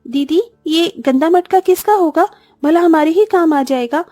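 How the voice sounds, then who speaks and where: A woman's voice: very high-pitched, a little slow, mellow, no echo. A woman voicing an eager, childlike orphan girl who exclaims often, in a cartoon story. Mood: curious. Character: excitable young orphan sisters in a cartoon. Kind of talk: Hindi cartoon story dialogue.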